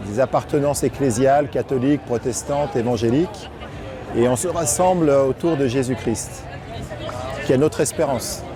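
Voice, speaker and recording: Male interviewee, average pitch, outdoor street setting, audible crowd. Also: moderate pace. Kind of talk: spontaneous interview. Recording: crowd noise behind.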